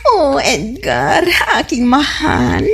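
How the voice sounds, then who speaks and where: A woman's voice: high-pitched, huge pitch swings, slow pace, gravelly, breathy edge, full character voice. A woman playing a coy, scheming village neighbour in an Ilocano comedy radio drama, with an Ilocano Filipino accent and a giggly, gushing delivery. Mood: flirtatious.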